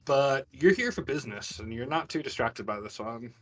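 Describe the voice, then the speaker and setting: A man's voice: medium pitch, expressive, normal speed, a bit bright, far from the mic, poor audio. A man working as game master, telling a tabletop fantasy story in descriptive, dramatic detail. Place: live online game stream.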